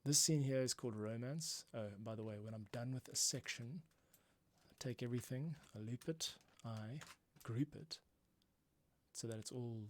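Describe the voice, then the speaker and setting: A man's voice: mid-range voice, moderate pace. A man hosting a livestream as a composer, casually explaining and thinking aloud as he works. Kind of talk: casual tutorial while working. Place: small home closet studio. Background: music cues playing.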